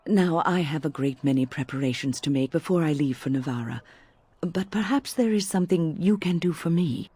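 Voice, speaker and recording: An actress playing an older, genteel female mage in a fantasy game, speaking formally and with measured kindness. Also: low-pitched, dark tone, breathy edge, no echo. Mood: friendly, businesslike. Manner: formal, measured, kindly diction. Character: elderly genteel female mage, wise and cautious. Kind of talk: fantasy game dialogue acting.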